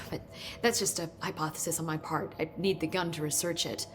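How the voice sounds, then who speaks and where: An eager, brainy female researcher played by an actress in a video game. Her voice sits a little low, and she explains strange science thoughtfully and informally. A low rumbling noise runs behind her. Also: steady tone, a little fast, natural voice. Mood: curious, enthusiastic. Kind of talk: naturalistic video game dialogue.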